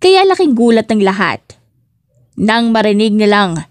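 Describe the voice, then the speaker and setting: A woman's voice: mid-range voice, very animated, measured pace, dry recording, clean studio sound. A woman reading a scripted story as an audiobook narrator.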